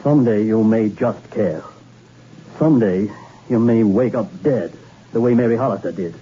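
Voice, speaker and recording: A man's voice: on the low side, normal speed, dark tone, natural voice. An actor playing a hard-boiled male investigator in a 1960s radio drama, speaking in a clipped, dry, persistent way. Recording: dull, faintly hissy old radio recording.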